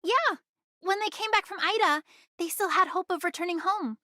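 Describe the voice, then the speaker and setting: A woman's voice: very high voice, moderate pace, pushed and bright, no room sound, studio quality. A woman voicing a feisty, proud but caring young female smuggler in an anime video game, brash and theatrical. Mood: earnest, wistful.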